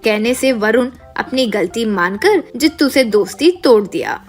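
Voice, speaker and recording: A woman's voice: a little high, lively intonation, measured pace, faint music behind. A woman reading scripted moral-story narration as the storyteller.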